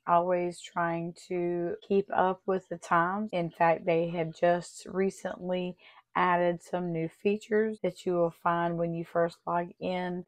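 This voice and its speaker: Slightly raspy, low-pitched woman tutorial host. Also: darkish tone. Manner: friendly, chatty, step-by-step explaining. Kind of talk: casual tutorial walkthrough.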